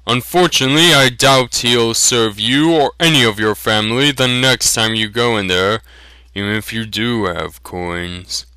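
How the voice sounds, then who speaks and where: A gruff but good-humoured village blacksmith acted by a man reading a fantasy audiobook. He speaks slowly and heartily, in a bright, forceful voice with a close, dry sound. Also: mid-range voice, no echo. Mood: amused, candid.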